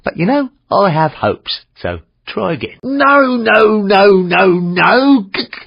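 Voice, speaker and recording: Gravelly, theatrical driving examiner. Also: male voice, high-pitched, very animated, takes it slowly, exaggerated voice. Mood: mock disappointed. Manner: theatrical, sardonic. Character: droll, exasperated male stunt-driving school examiner. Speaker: driving test instructor. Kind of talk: video game voice acting. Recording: dull lo-fi game audio.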